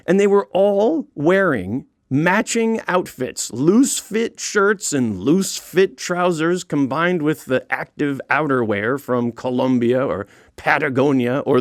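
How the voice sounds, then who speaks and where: Animated American man narrating a comic audiobook. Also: on the high side, no echo, studio quality. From United States. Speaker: author narrating his own audiobook, comedian. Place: recording studio.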